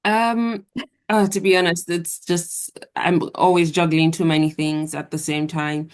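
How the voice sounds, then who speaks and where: A young adult woman, a guest author, answering interview questions in a measured, thoughtful way. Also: lowish voice, level tone, clear, resonant tone.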